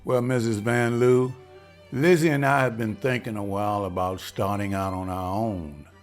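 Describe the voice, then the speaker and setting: A man's voice: lowish voice, steady tone, normal speed, faint music behind, natural voice. A man reading the part of William, a hopeful, respectful husband who has just landed a waiter's job, in a table read. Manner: earnest, respectful, says ma'am.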